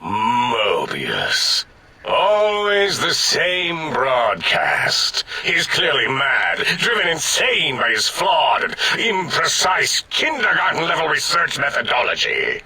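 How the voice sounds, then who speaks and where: A pompous, irritable robotic scientist from a video game. The voice is harsh and very sharp, swinging widely in pitch. He over-enunciates with bombastic indignation. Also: wildly swooping pitch, slow pace, slightly rough, heavily acted, rough recording. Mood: scornful. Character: pompous, irritable mad-scientist brain in a machine. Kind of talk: theatrical comic video game acting.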